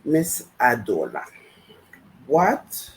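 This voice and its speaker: A woman's voice: very deep voice, a little hiss or hum, low-quality recording. A woman from the US Virgin Islands hosting a casual online business talk show, greeting and introducing her guests. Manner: lively, chatty, drawn-out greetings. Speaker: host.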